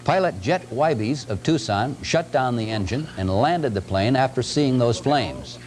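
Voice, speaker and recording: A man's voice: medium pitch, expressive, measured pace, a little mellow. An American man reading scripted news as a television anchor. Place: TV studio. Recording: noisy, humming 1970s TV broadcast tape.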